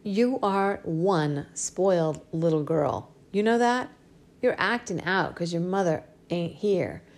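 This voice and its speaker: A woman's voice: on the low side, slow. A woman reading a loving but stern middle-aged father in an audiobook, scolding then tender, with folksy slang. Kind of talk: audiobook character voice.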